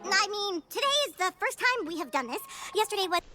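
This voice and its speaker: Very high, lilting young cartoon girl acted by a woman. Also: animated, normal speed, pushed and bright, exaggerated voice. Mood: chatty. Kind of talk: animated cartoon dubbing. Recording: clip with music behind.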